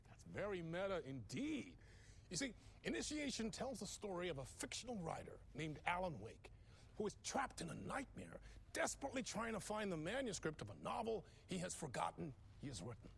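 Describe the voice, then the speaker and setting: An actor playing an exuberant, slick late-night TV host in a video game, bantering with showy, effusive chattiness. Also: male voice, sits high, very animated, fairly quick, vocal fry, slight background noise, full character voice. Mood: amused, dramatic. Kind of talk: theatrical talk show interview banter.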